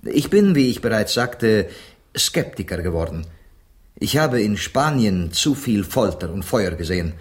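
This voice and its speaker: A man's voice: fairly low pitch, very animated, measured pace. An actor playing a courteous, skeptical Spanish poet in a literary radio drama, philosophical and sprinkling his speech with Spanish phrases. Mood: earnest, reflective.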